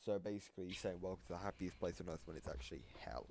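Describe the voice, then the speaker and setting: A man with a deep, low voice gives casual reaction commentary. He explains and hedges as he goes. The recording has faint noise and clicks. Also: lively intonation, rapid-fire, a little mellow. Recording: noisy home mic with clicks.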